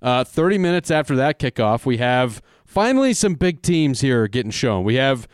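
Co-host, man, playful bettor, smooth dry voice. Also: medium pitch, big pitch swings, moderate pace, dry recording. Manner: jokey, hypes with "baby". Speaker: podcast co-host. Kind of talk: casual sports betting chat.

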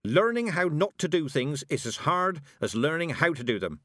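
A man's voice: fairly high pitch, very animated, moderate pace, fairly bright tone, no room sound, studio quality, pitched above the performer's own voice. A man voicing a stern, blunt, proud old country witch woman with emphatic rustic speech, in audiobook style. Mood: firm, wry. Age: older. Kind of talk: audiobook character acting.

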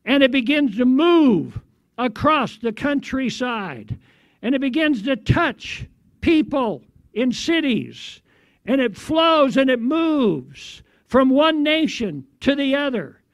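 A man preaching in a declamatory way, recounting a prophetic vision in short phrases with deliberate pauses. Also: extremely high pitch, big pitch swings, dry recording, lo-fi sound. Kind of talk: prophetic sermon narration.

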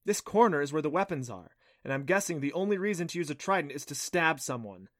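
A man voicing a bold, quick-witted young female adventurer with a brisk, challenging, certain manner in a fantasy story. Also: on the high side, expressive, dry recording, studio quality, voice pushed up, lightly acted.

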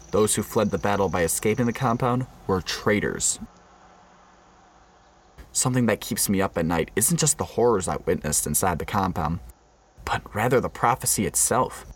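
Somber male survivor-narrator played by an actor, low voice, haunted, radio play with faint background noise. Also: animated, moderate pace, lightly acted.

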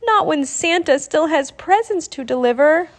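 A woman voicing an eager, earnest young girl in a storybook, exclaiming brightly. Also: sits high, expressive, moderate pace, pitched above the performer's own voice.

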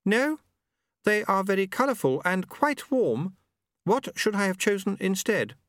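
A man's voice: high voice, very animated, measured pace, fairly bright tone, no room sound, clean studio sound, voice pushed up, exaggerated voice. A man voicing a prim, literal-minded aristocratic lady who talks in formal, precise phrases. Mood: earnest.

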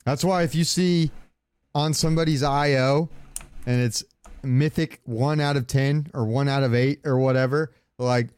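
A man co-hosts a casual gaming podcast in a clear, smooth voice at an average pitch. He rambles along informally and swears now and then. The sound is dry and close. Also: fairly even intonation, normal speed, darkish tone, dry recording. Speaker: podcast co-host. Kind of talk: casual chat about video games.

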